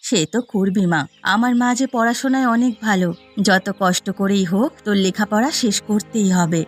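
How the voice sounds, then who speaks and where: Poor cartoon mother, voiced by a woman: gentle, worried, tender, music behind. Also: medium pitch, normal speed, natural voice. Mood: sorrowful, tender. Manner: tender, sorrowful. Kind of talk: Bengali fairy-tale cartoon dubbing.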